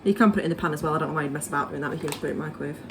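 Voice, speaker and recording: A young woman with a Yorkshire accent chats away to her vlog camera at home, rambling and full of fillers. Her voice sits a little low. The kitchen sounds roomy and echoey, with steady noise behind her. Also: very fast, distant mic. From Yorkshire, England. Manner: chatty, rambling, with many fillers. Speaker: vlogger. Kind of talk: casual chat to camera. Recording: echoey room with background noise.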